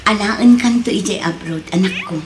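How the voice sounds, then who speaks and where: A woman's voice: medium pitch, moderate pace, a little hiss or hum, natural voice. A woman with a Filipino accent playing a hurt but resolute young mother in an Ilocano radio drama. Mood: apologetic, reassuring. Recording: music behind the voice.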